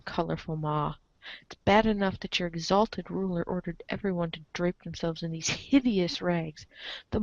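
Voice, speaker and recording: A woman's voice: on the low side, gravelly, no echo. Dry, dull-sounding woman narrator, theatrically wry. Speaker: narrator reading a webcomic aloud. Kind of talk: dramatic scripted narration with casual asides.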